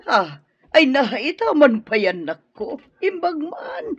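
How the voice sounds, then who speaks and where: An actress playing a gossipy, money-minded middle-aged mother in a radio drama, exclamatory and husky. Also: high-pitched, wildly swooping pitch, slow, exaggerated voice. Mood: flustered, contrite. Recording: dull lo-fi radio recording.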